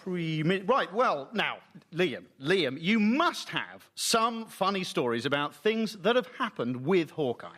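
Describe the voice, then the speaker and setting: A man's voice: high voice, sing-song, a little slow, pushed and bright, full character voice. A man playing a fumbling, pushy male sports reporter in a comedy radio sketch. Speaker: spoof reporter.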